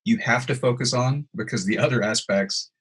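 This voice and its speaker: A man's voice: medium pitch, normal speed, a bit bright, slightly rough. A man hosting a podcast interview, agreeing often and asking long, run-on questions. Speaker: podcast host. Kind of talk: casual interview chat.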